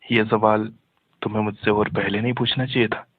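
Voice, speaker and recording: A man's voice: on the low side, level tone, a little fast, dry recording. A man talking casually and privately with someone on a phone call. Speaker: call recipient in a phone conversation. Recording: dry, narrow tinny phone line.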